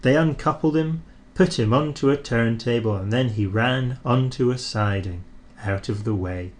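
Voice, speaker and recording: A man reading a children's train story aloud in an expressive, animated storyteller's manner. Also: mid-range voice, moderate pace.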